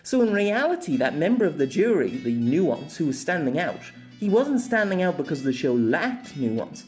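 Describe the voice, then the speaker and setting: Lively, expressive essay narrator over music. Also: medium pitch, normal speed. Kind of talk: scripted audio essay narration.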